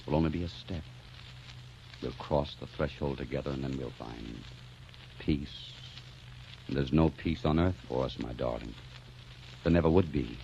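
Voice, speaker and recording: Very deep, solemn romantic man in radio drama. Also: moderate pace, creaky, long pauses, slight background noise. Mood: solemn, resigned. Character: solemn, tender male lover resigned to death. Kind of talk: 1940s radio drama acting. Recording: dull old radio recording, soft music behind.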